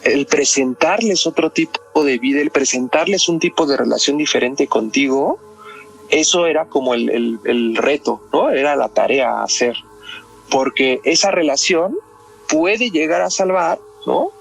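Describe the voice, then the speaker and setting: A man's voice: fairly high pitch, expressive, measured pace, vocal fry, husky. A man with a Mexican accent speaking as a guest psychoanalyst in conversation, explaining step by step and often tagging on questions. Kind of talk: explanatory conversation about a crime case. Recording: music behind the voice.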